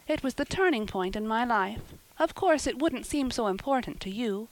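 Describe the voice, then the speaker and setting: A woman's voice: on the high side, animated, moderate pace, voice pushed up, natural voice, low-quality recording. A woman voicing an imaginative, earnest young storybook girl who gushes and pleads in wordy, romantic speeches. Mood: earnest, gushing.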